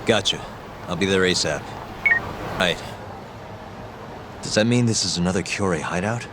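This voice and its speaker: A man's voice: low-pitched, normal speed, noisy background, close to an everyday voice. A man voicing a determined, protective young detective in an English video game dub, with a laconic, assured delivery. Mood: cool, resolute. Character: determined, cool-headed young male detective, protective and reckless. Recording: background music under the dialogue.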